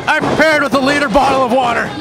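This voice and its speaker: A man doing energetic color commentary at a live wrestling show, loud and losing his voice. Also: very high voice, fast talker, gravelly. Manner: energetic, loud, joking asides. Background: loud crowd and room noise.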